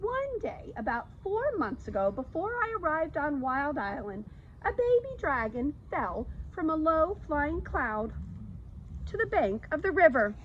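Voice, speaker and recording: A woman reads a children's story aloud as a storytime reader. Her voice is high and swings widely in pitch. The recording is slightly dull. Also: sing-song, measured pace. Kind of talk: animated read-aloud of a children's book. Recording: slightly dull audio, faint background noise.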